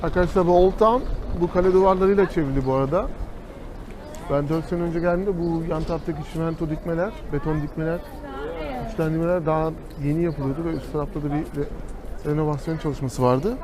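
A man with a fairly high voice chats casually as he walks a city, turning to the camera often to speak to his viewers as friends. Traffic and street noise sit clearly behind him. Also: fairly even intonation, fast talker. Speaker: travel vlogger. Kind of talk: casual travel vlog commentary. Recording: noisy outdoor street recording. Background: street noise and passing vehicles.

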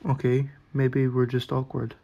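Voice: medium pitch, completely flat delivery, a little slow, dark tone, lo-fi sound, slight background noise, close to an everyday voice. An actor playing an awkward, anxious, self-deprecating young introverted man in short-story dialogue, hesitant and dry. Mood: awkward, sincere.

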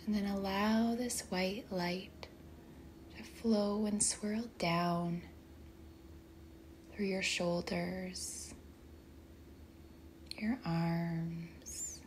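A woman leading a guided meditation in a soft, breathy, whispery voice, speaking gently and deliberately with long pauses between short phrases. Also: on the low side, a little hiss or hum. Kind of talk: guided meditation, slow and soothing narration.

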